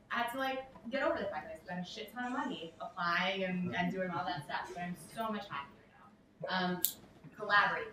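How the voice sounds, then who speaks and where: A woman's voice: on the low side, noisy background. A young woman giving an upbeat motivational workshop talk, with frequent "um" and "like". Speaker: workshop speaker. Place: large echoey event room. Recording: echoey room, speaker far from mic.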